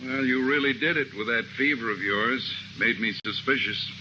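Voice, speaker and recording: An actor playing a brisk, genial ship's doctor in a 1950s radio drama. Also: male voice, mid-range voice, natural voice. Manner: brisk, genial, bedside-manner tone. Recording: muffled old radio recording with hiss.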